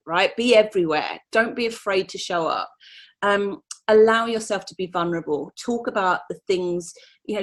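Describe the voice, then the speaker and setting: A woman in her thirties talks candidly in an interview, upbeat and motivational. She speaks in quick, loose sentences and often leans on "you know". She sounds plain-spoken and sure of herself. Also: medium pitch. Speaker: business mentor, guest. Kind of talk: casual interview answers.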